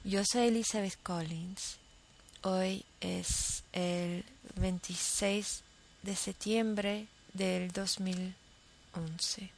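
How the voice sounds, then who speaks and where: A young adult woman hosting her own podcast, talking about her life in a halting, unscripted monologue full of fillers and restarts, in a with as her first. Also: medium pitch, steady tone, gravelly, slight background noise, low-quality recording. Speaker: podcast host. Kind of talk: casual personal monologue.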